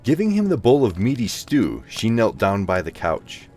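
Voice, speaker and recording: A man narrating a scripted fantasy story as an expressive audio drama narrator. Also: lowish voice, moderate pace, soft background music.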